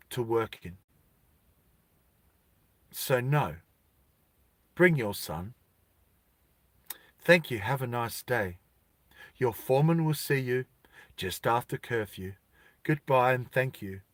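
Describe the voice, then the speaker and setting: Man doing a quiet, coldly courteous dystopian official. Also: medium pitch, no room sound. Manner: formal, measured, quietly menacing. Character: cold, courteous, implacable male official of a dystopian regime.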